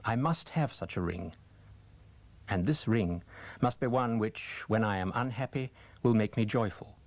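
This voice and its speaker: Male audiobook reader. Lowish pitch, very dry recording, measured formal storytelling with deliberate phrasing. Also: dry recording. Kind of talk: scripted story narration.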